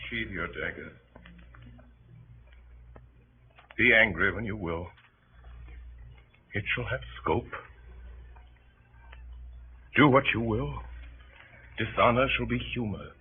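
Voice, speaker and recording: An actor playing a stern, noble, grief-weary Roman general in a grave, formal 1930s radio Shakespeare style. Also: male voice, fairly low pitch, slow, pauses often. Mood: weary, relenting. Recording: muffled, noisy 1930s radio broadcast.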